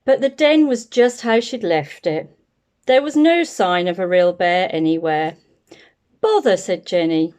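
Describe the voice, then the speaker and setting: A woman reading a children's picture book aloud in an expressive, deliberate storytelling manner. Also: on the low side.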